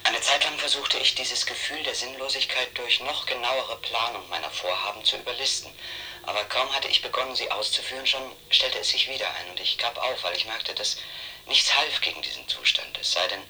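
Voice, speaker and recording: A man's voice: medium pitch, a little fast, bright, forceful tone, a little hiss or hum, rough recording. An actor playing a weary, brooding man giving a literary monologue in a radio drama. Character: brooding, weary male narrator confessing his sense of futility.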